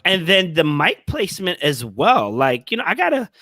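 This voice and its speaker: Playful male co-host, bright forward tone, slow-ish delivery, close dry sound. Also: sits high, very animated, no room sound. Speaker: podcast co-host. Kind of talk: casual, joking banter.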